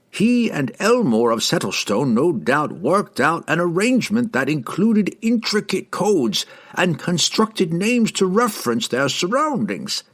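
A man voicing a wise, learned old herald in a fantasy audiobook, explaining things in a knowing, deliberate way. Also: on the high side, pitched above the performer's own voice, full character voice.

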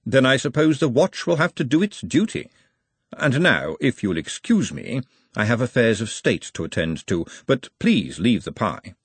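A man acts out an urbane male tyrant from a fantasy storybook. He is formal, measured and dryly understated, with calculation under every phrase. The recording is dry and close. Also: medium pitch, sing-song, slightly rough, no room sound. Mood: dry, composed. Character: urbane, wry, calculating male city ruler.

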